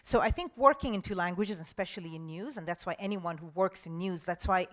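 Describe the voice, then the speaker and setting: A middle-aged Italian-born woman journalist with a mixed Italian-English accent, answering audience questions in a chatty, animated way. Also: lowish voice. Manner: chatty, animated, quick asides with restarts. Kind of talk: panel Q&A talk.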